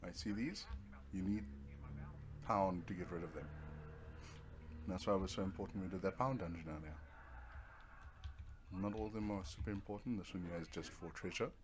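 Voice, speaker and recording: A man's voice: low voice, a little fast, long pauses, noisy background. A man giving casual let's play commentary as a gaming video host, laid-back and muttering asides to himself.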